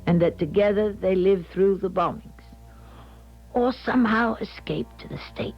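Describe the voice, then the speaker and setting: An actress playing a grave, haunted older female clairvoyant, narrating a 1970s radio drama in a measured, solemn way. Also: mid-range voice, lightly acted. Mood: grave, resigned. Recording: dull 1970s radio broadcast, music behind.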